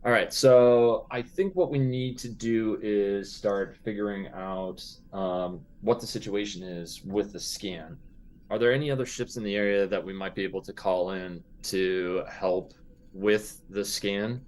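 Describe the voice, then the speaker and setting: A man with a fairly low voice plays in a tabletop game. He banters casually, with a wry, joking manner. Faint noise sits behind him. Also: a little slow, darkish tone.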